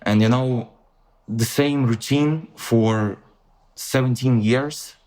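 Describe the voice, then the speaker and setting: Slow, halting young Ukrainian man. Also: mid-range voice, a little mellow. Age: young adult. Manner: halting, many fillers and restarts. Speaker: podcast guest. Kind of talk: reflective interview answers.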